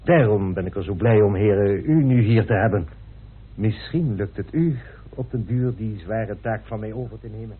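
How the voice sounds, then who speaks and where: An actor playing a courteous, grave gentleman telling of a family mystery in a radio play. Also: lowish voice, normal speed, slight background noise, natural voice. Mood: solemn, confiding. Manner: polite, solemn, storytelling. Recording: muffled, band-limited old radio recording.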